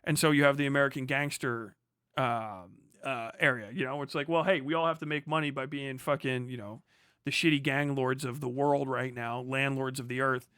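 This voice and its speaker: A man's voice: mid-range voice, fairly even intonation, normal speed, a bit bright, clean tone, no echo, studio quality. A man delivering an opinionated, digressive livestream monologue, swearing freely as he goes. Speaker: livestream host. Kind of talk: rambling livestream monologue on film and culture.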